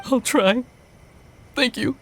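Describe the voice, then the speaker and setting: Forlorn, slow, high-voiced young man. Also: a bit bright, a little hiss or hum, heavily acted. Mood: dejected. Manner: dejected, halting. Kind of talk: English game dub acting.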